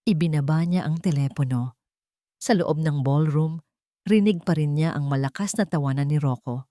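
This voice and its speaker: A Filipino woman narrating a dramatic story as an audiobook, in a measured storytelling manner. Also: low voice, mellow, no room sound, clean studio sound.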